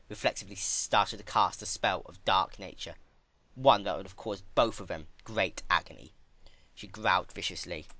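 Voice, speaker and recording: Dramatic male storyteller with lively pitch. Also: dry recording. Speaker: fan fiction narrator. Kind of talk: dramatic scripted story narration.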